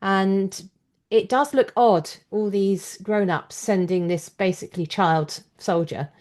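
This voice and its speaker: A woman's voice: medium pitch, takes it slowly, a little mellow. A woman hosting a podcast interview, offering her opinions in an articulate, reflective, conversational way. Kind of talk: conversational discussion, opinionated commentary.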